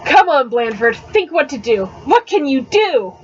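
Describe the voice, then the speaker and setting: A woman's voice: sits high, sing-song, unhurried. A woman reading the part of an anxious, sarcastic teenage boy writer in a storybook, on a webcam recording. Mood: fretful. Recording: dull, noisy webcam audio.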